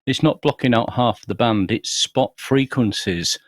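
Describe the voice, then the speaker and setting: A man making points in a measured way in a podcast discussion. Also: mid-range voice, no echo, studio quality. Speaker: podcast panelist.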